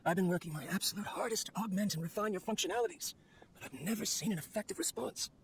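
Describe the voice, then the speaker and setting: A lonely, obsessive researcher from a video game, his voice pitched a little high. He speaks in a weary, wistful, brooding way, as if to his only creation. There is clear ambient noise behind him. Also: fast talker. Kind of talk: dramatic video game monologue.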